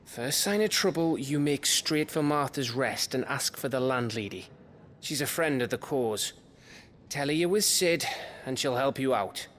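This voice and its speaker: Rough rebel in a fantasy game, medium voice, self-effacing, blunt but fond, bit of background noise. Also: male voice, medium pitch, animated, a little slow, a bit bright. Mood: cautioning. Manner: colloquial, blunt, gruffly bashful. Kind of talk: dramatic video game cutscene acting. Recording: faint ambient noise behind.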